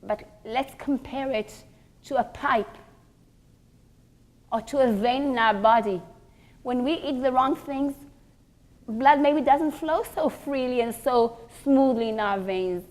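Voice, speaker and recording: Female religious teacher, higher voice, deliberate and emphatic lecture delivery with pauses between short phrases. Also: a little mellow. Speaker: religious teacher giving a class for women. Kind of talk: religious lecture with rhetorical questions.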